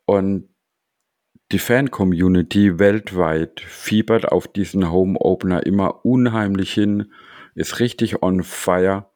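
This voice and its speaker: A man co-hosting a casual podcast chat, calm and matter-of-fact. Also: low voice, slow pace, dry recording, studio quality. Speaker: podcast co-host.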